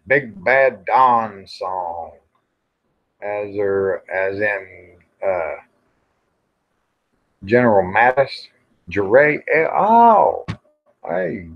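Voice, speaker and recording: A man's voice: lowish voice, vocal fry. A man, hosting a casual, rambling livestream in a folksy, muttering way on dull, lo-fi audio. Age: 50s. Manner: folksy, muttering to himself while browsing. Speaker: livestream host. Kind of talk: casual rambling live chat.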